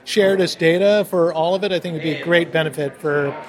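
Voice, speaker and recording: Earnest, slightly high-voiced man. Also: brisk. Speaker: university staff member. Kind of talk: self-introduction in a group round.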